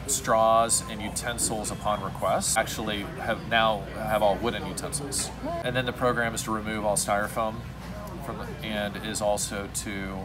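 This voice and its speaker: A man's voice: fairly low pitch, level tone, moderate pace. Earnest man explaining to a phone camera. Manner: earnest, gestures while talking, some restarts. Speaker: restaurant owner. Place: home room indoors. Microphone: phone camera close up.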